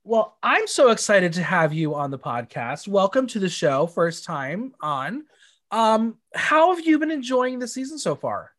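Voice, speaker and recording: A congested man hosting a podcast, chatty and animated, swearing casually. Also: high-pitched, measured pace. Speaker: podcast host.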